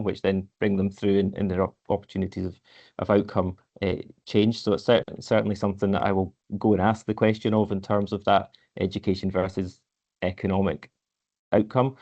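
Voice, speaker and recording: A council officer answers questions in a fairly low, level voice, stumbling and repeating words as he thinks aloud. The audio is slightly muffled and lo-fi. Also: warm tone. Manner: hesitant, repeats words, measured. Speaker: council head of service. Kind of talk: answering questions at a committee meeting.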